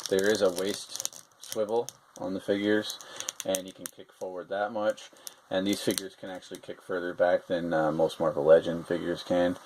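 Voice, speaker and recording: A man with a very low voice talks casually through a toy review, rambling and hesitating, stopping often on fillers. The room has a faint steady hiss underneath him. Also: moderate pace.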